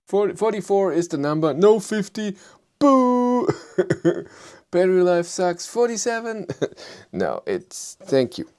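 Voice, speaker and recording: A man with a thick German accent hosting a casual live chat, low-key and hesitant, with many fillers as he answers viewers. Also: big pitch swings, slow, darkish tone, smooth. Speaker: livestream host.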